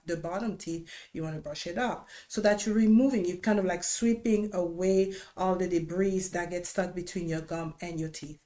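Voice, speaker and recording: A woman's voice: fairly low pitch, dark tone. A woman with a Congolese accent, a dentist hosting an informal educational livestream, speaking conversationally and instructively and repeating key points for emphasis. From Democratic Republic of Congo. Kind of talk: informal educational talk to viewers.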